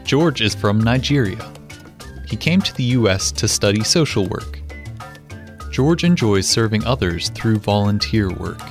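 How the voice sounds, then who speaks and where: A man introducing a radio program and its guest in a measured, scripted presenter's delivery. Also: fairly low pitch, lively intonation. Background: soft background music.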